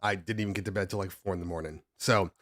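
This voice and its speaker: A man's voice: sits low, steady tone, quick pace, a bit bright, dry recording, studio quality. A man hosting a casual DJ livestream, congested and just out of bed, rambling and joking as he sets up at his home desk. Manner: rambling, self-interrupting, joking. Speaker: DJ livestream host.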